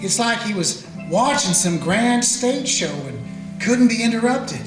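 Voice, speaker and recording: A man's voice: very high voice, sing-song, a little slow, exaggerated voice. A man voicing a weary small-town sheriff who narrates and questions a prisoner with folksy, dry humor. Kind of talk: radio play narration and acting. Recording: echoey room with faint music.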